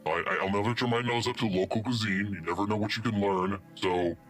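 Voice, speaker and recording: A man's voice: fairly low pitch, fairly even intonation, a little fast, fairly bright tone, faint music behind, lightly acted. A man voicing a huge, good-natured, determined alien cook in a tabletop game, rambling earnestly.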